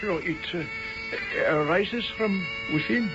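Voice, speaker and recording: An actor playing an obsequious manservant in a radio drama, hesitant and flowery. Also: male voice, high-pitched, takes it slowly, over music. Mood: fawning, hesitant. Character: obsequious Indian manservant, flowery, timid, slyly superior. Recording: dull vintage radio drama recording.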